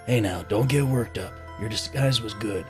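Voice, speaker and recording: An actor playing a gruff, plain-spoken leader of a hobo gang in an audio drama. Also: male voice, fairly low pitch, moderate pace, soft background music, lightly acted. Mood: steady, reassuring.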